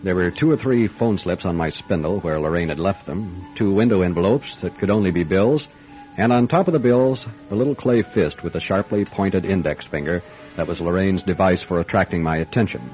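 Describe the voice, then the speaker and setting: A dull lo-fi radio recording of a man playing a methodical private detective. His voice is low and dry, telling his case in wry, matter-of-fact style. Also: fairly quick, a little mellow, soft background music, close to an everyday voice. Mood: wry narrating. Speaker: actor in radio drama. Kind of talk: radio mystery acting, first-person detective narration.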